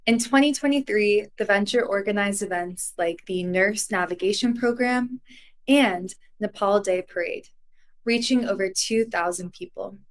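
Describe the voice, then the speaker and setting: A woman acting as event host, reading scripted introductions in a clear, formal way. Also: medium pitch, normal speed.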